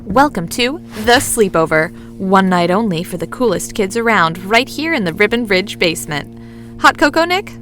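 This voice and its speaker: A woman's voice: medium pitch, animated, moderate pace, fairly bright tone, faint music behind, close to an everyday voice. A woman playing a playful, theatrical young woman in an audio drama, showy and mischievous like a mock announcer. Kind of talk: lively audio drama dialogue.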